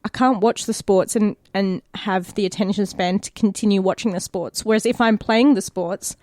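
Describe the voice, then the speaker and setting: A woman's voice: mid-range voice, moderate pace, a little mellow, dry recording, studio quality. A woman with an Australian accent chatting casually and candidly as an actor on a podcast, swearing freely. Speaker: podcast cast member, actor. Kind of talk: casual chat.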